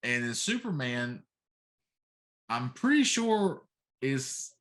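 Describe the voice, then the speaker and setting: A man's voice: medium pitch, sing-song, slow pace, a bit bright. A middle-aged man co-hosting a casual talk show, complaining in an emphatic, comically exaggerated way. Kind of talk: casual chat.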